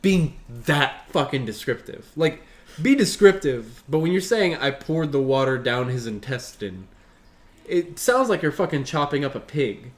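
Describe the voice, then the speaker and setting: A man's voice: mid-range voice, expressive, unhurried. Man, mid-range voice, podcast host, reading aloud, casual commentary, swears freely.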